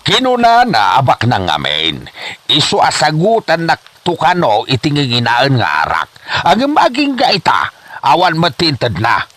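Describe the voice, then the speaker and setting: A man's voice: a little high, wildly swooping pitch, measured pace, a bit bright, no room sound, full character voice. Man acting a wildly swooping, exasperated Ilocano father. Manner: theatrical, sarcastic nagging. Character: exasperated, scolding father of an ambitious daughter. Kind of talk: Ilocano comedy radio-style drama acting.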